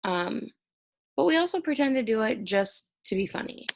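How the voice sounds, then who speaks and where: A woman's voice: a little high, expressive, normal speed, creaky. A young woman recording an exam review lecture at home, explaining each topic conversationally while sounding stressed and short on sleep. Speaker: college instructor.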